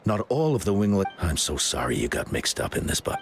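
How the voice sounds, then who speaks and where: A man's voice: deep voice, wildly swooping pitch, brisk, soft background music. An actor playing an earnest, polite, apologetic clansman in a video game, speaking in a conciliatory way. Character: earnest, apologetic, conciliatory male clan member.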